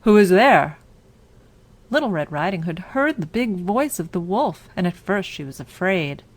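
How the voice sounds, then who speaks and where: A woman narrating a scripted bedtime story for children in a measured, deliberate manner. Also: lowish voice, sing-song.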